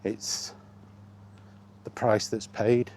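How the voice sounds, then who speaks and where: Guy rambling to his vlog on a walk, slow and kinda mellow, blunt, resigned. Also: fairly low pitch, level tone, vocal fry, slight background noise. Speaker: vlogger. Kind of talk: casual walking vlog commentary on video games. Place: outdoors, walking.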